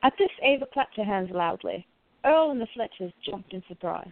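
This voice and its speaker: A woman's voice: medium pitch, animated, moderate pace, dry recording. Woman narrator, muffled phone-like sound. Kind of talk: scripted radio play narration.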